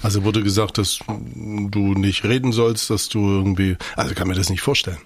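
A man's voice: fairly low pitch, steady tone, normal speed, creaky, slight background noise. A man co-hosting an interview, asking questions in a wry, musing way. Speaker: co-host. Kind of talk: casual interview chat.

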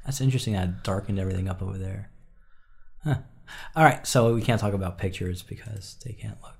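A man's voice: on the low side, fast talker, creaky. A man co-hosting a casual chat, rambling with wry asides. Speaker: co-host.

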